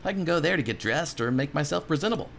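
A man's voice: a little high, lively intonation, quick pace, vocal fry, a bit bright, faint music behind. A man voicing a plucky, boastful young adventurer in a cartoon game, with theatrical, self-satisfied declarations. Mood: content. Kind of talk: game dialogue read aloud as a character.

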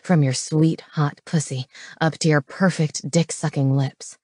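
Possessive, tender romance hero, played by a woman: pitched well down, breathy growl, audiobook intimacy. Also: fairly even intonation, unhurried, a little mellow, no echo, clean studio sound. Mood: commanding, passionate. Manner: low, breathy, growling delivery. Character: possessive, tender male lover in paranormal romance.

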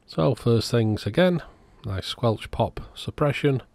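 A man's voice: medium pitch, animated, slow, creaky, a little mellow. A man giving a casual, matter-of-fact workbench narration as the host of an electronics repair video.

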